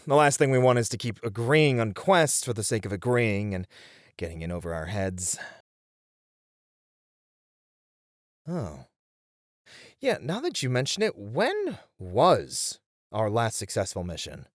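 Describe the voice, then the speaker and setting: Man acting an earnest, expressive young-hero warrior. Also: lowish voice, no room sound, clean studio sound. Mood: sensible, exasperated. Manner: measured, earnest, mildly exasperated. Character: earnest, sensible, plain nice male warrior adventurer. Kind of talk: fantasy audio roleplay acting.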